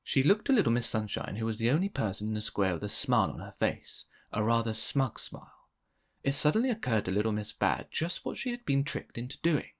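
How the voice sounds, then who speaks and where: Animated man reading a children's story. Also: mid-range voice, fairly quick. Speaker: storybook reader. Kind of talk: expressive children's read-aloud narration.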